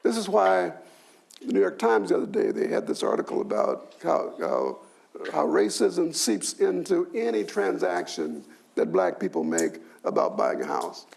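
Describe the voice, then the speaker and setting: An older man with a high, strongly gravelly voice speaks on a discussion panel. His pitch moves a lot as he explains, and he often repeats a word before going on. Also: wildly swooping pitch, normal speed, darkish tone. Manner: repeats and restarts words, adds "you see". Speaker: sociology professor, panelist.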